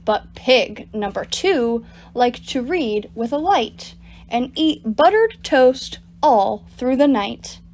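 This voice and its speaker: A woman reading picture books aloud to children as a storytime reader, in an animated, deliberate storytelling manner. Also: a little high, slight background noise. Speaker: storytime reader at a public library. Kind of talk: expressive picture-book read-aloud for children.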